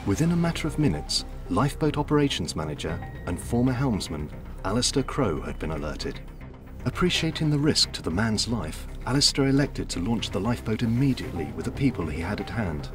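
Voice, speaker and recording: Male narrator, scripted documentary voice-over, low, very expressive pitch, clear music bed. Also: brisk.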